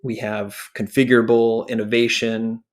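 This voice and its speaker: A man's voice: mid-range voice, level tone, moderate pace. A man hosting a product walkthrough, explaining things in short phrases as commentary. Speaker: show host and presenter.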